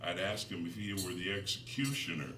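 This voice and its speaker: American male poet, low forceful voice, deliberate delivery, for a live reading. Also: animated. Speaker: poet reading own work. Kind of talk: live poetry reading with spoken introductions. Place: live reading in a room before an audience. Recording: roomy, distant mic, faint noise.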